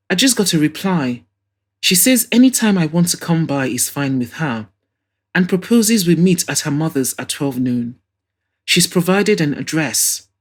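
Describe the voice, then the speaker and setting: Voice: mid-range voice, husky. A woman voicing an anxious, hopeful young woman, earnest and confiding, in an audio drama.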